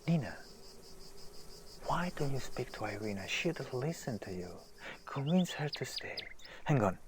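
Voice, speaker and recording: An actor playing a self-doubting, weary writer in an audio drama, musing and hesitant, often hushed. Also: male voice, medium pitch, big pitch swings, unhurried. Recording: noisy outdoor ambience, birds and insects.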